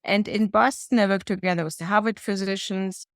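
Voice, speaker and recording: A middle-aged woman from Germany with a German accent, a healthcare executive and lecturer answering interview questions in a measured, earnest way. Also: mid-range voice, fairly even intonation, no echo, studio quality.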